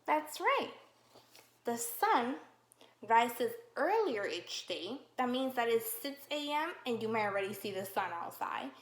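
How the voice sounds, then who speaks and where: A woman teacher reading a picture book aloud to young children, slow and encouraging, with drawn-out questions to the class. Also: on the high side, big pitch swings. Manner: slow, encouraging, exaggerated sing-song questioning. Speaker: kindergarten teacher. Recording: roomy echo.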